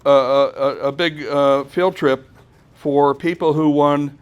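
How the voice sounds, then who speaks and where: A man presenting an informal technical talk at a conference, speaking haltingly and often repeating words as he goes. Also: fairly high pitch, steady tone, clean tone.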